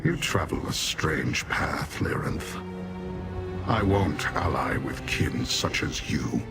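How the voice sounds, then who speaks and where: Loud music sits under a gravelly, slow-spoken demon warrior. He is proud and disdainful, speaking formally in a fantasy game. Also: a bass voice, lively intonation, exaggerated voice. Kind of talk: fantasy video game voice acting.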